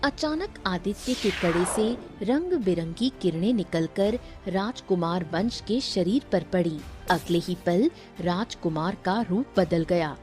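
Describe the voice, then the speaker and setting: A woman's voice: fairly low pitch, expressive, takes it slowly, darkish tone, faint music behind. A woman narrating a scripted story as its storyteller.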